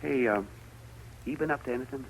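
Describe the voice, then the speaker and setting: A man's voice: mid-range voice, fairly quick, creaky, natural voice. An American man playing a chatty, inquisitive hotel clerk in a 1950s radio western, with a folksy way of talking. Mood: curious, affable. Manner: folksy, nosy. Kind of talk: 1950s radio drama acting. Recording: noisy vintage 1950s radio recording.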